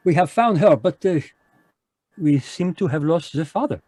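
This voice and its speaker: A man's voice: fairly high pitch, lively intonation, moderate pace, dark tone, no echo. A man playing a fussy Belgian detective with a French-flavored accent and formal phrasing, in a live radio play over video call. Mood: knowing. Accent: French-flavored English, put on. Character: fussy Belgian male detective, precise, commanding.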